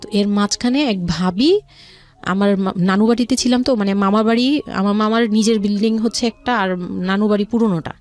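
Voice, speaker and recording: A Bangladeshi woman telling her life story as a radio interview guest, speaking in a halting, confiding way with repeated words. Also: medium pitch, a little mellow. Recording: music under the voice. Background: background music.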